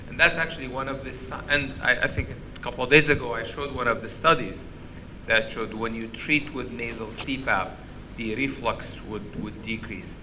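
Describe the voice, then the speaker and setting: A man with a loud voice giving an interactive medical lecture, quizzing the audience and restarting his sentences often. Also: mid-range voice, moderate pace, few pauses, slight background noise, rough recording. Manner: questions the audience, many restarts. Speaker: physician lecturer. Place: conference hall.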